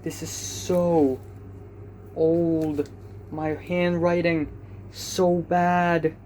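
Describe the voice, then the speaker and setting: A man's voice: a little high, level tone, extremely slow, dark tone, a little hiss or hum, low-quality recording. Rambling young man vlogging at home. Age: young adult. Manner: rambling, self-mocking, chatty. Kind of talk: casual diary vlog.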